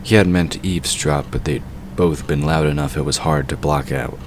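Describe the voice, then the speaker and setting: A man's voice: extremely low pitch, fairly even intonation, moderate pace, a little hiss or hum. A man narrating a fantasy audio drama from a script.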